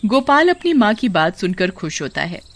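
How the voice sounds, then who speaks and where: A woman's voice: mid-range voice, very animated, normal speed. A woman reading a scripted children's story as narrator.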